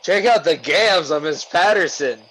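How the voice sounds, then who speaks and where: A man's voice: on the high side, lively intonation, a little slow, a bit bright, slight background noise, rough recording. A man playing a bumbling, easily flustered small-town policeman in an old-time radio comedy sketch. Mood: curious. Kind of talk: 1930s radio comedy acting.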